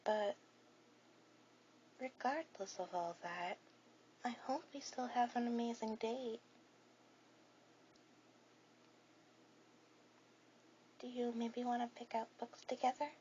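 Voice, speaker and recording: Woman voicing a high-pitched shy anime girl. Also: a little slow, long pauses, lightly acted. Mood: shy, apologetic. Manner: soft-spoken, hesitant, gentle. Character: shy, sweet, bookish teenage anime girl on a date. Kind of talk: ASMR romantic roleplay addressed to the listener. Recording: dull lo-fi audio with clear hiss.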